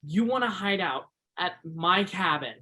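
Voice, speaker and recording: A man's voice: sits high, expressive, unhurried, bright, forceful tone, husky, exaggerated voice. An actor in a one-act stage play playing an earnest, shy young Alaskan backwoodsman, speaking a little hesitantly. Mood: unsure.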